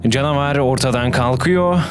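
A man's voice: mid-range voice, normal speed. A man delivering scripted explanatory narration as a video essayist, posing rhetorical questions. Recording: background music under voice-over.

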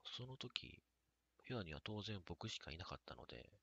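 A man with a gravelly voice telling a spooky first-person story. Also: fairly low pitch, expressive, moderate pace, fairly bright tone, no echo, highs cut off.

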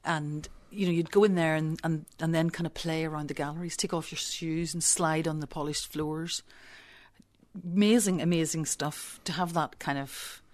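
A low-voiced Belfast woman telling stories of her upbringing in a close, dry radio studio. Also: steady tone, normal speed, a little mellow, dry recording. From Belfast, Northern Ireland. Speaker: interview guest, visual artist. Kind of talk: conversational reminiscence.

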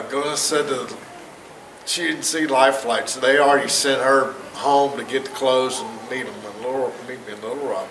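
Man in his fifties, church testimony, rambling storyteller, echoey sanctuary, far camera. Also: mid-range voice. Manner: rambling storyteller, chuckles at his own jokes. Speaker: church member giving testimony. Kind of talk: personal testimony to a congregation. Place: church sanctuary stage, indoors, large. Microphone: far camera, no mic seen. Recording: roomy echo from far off, noisy.

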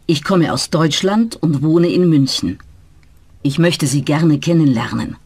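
A woman's voice: deep voice, expressive, a little slow, darkish tone, husky, full character voice. Woman voicing a friendly German teacher. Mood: welcoming. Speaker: teacher in a dialogue. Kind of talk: slow scripted learner dialogue.

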